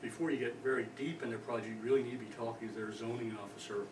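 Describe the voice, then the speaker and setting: A man's voice: medium pitch, steady tone, rapid-fire. A man acting as an official at a meeting, questioning and advising in a direct, cautioning way. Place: indoor public meeting room. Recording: distant, echoey, noisy meeting room recording.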